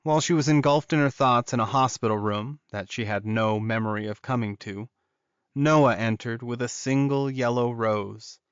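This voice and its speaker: A man's voice: medium pitch, moderate pace, clear, resonant tone, no room sound. A man narrating scripted fiction as an audiobook reader, in a slightly muffled recording.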